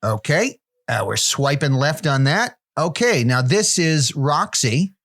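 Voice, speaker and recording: A man's voice: fairly high pitch, very animated, slow pace, a bit bright, full character voice. A man playing a fussy dating-app phone operator. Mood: deadpan. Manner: hesitant, picky commentary with restarts.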